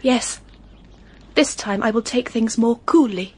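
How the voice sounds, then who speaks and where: An actress playing an earnest, determined young English governess in a period audio drama, with an English accent. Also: female voice, fairly high pitch, unhurried, breathy edge. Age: young adult.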